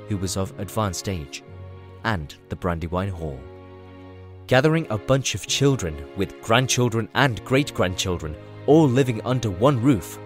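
A man narrating a scripted fantasy story as the narrator of an audio drama. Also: lowish voice.

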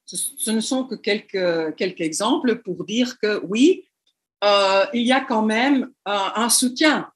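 A woman's voice: mid-range voice, takes it slowly. Hesitant woman lecturing, French not native. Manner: hesitant, many fillers and restarts. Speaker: university lecturer. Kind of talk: online lecture over slides.

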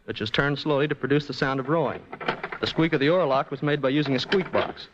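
A man's voice: mid-range voice, fast. A man giving scripted explanations as a guest expert on a 1940s radio show. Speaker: sound effects department head, guest explainer. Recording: dull, noisy old radio recording.

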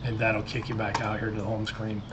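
A middle-aged American man giving a casual, explanatory screen-share software tutorial. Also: lowish voice, completely flat delivery, a little fast, dull audio, a little hiss or hum. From United States. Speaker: tutorial presenter. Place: indoors at a computer desk. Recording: loud guitar music behind the voice. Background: loud guitar music.

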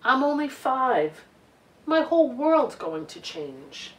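A middle-aged woman reading a picture book aloud to children in an expressive, deliberate way with emphasized words. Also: high voice, a little hiss or hum. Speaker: children's storytime reader. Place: living room couch, indoors. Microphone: camera a few feet away. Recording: roomy home recording, distant microphone.

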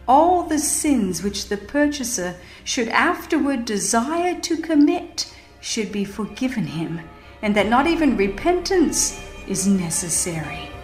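A woman narrating a religious history audiobook in a solemn, emphatic, formal manner. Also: high-pitched. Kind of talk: dramatized scripted narration, reading a religious history. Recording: echoing voice over music. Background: music.

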